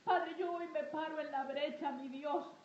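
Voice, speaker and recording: Female prayer leader, high-pitched with a soft-edged tone, fervent and insistent delivery, echoey room with faint noise. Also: fairly even intonation, measured pace, dull audio. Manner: emphatic and repetitive, with frequent invocations. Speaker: prayer leader preaching to an online audience. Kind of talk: fervent intercessory prayer and exhortation. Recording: roomy echo, faint background noise.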